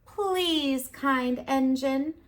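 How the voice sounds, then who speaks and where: A woman's voice: sits high, very slow. A woman voicing a chorus of dolls and toys in a storybook, pleading for help.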